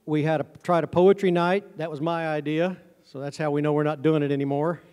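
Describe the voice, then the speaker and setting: A man's voice: fairly high pitch, fairly even intonation, a little fast, darkish tone. A middle-aged man giving an informal, folksy, self-deprecating presentation to an audience. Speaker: conference speaker, honey farm business owner. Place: large auditorium stage, indoors. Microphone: handheld microphone through PA, recorded from audience camera. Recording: hall reverb from stage sound system.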